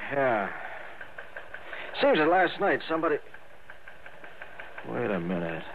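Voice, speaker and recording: An actor playing a brisk, folksy American police lieutenant in an old-time radio drama. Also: male voice, mid-range voice, sing-song. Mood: astonished. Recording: tinny, hissy vintage radio recording.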